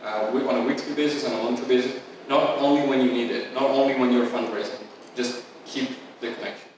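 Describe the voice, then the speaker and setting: A man's voice: mid-range voice, fairly even intonation, fast talker. A man, a founder, giving a loose welcome speech at an event, full of "like" and "uh". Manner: rambling, heavy use of "like" and "uh". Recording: echoey hall, distant mic, faint hum.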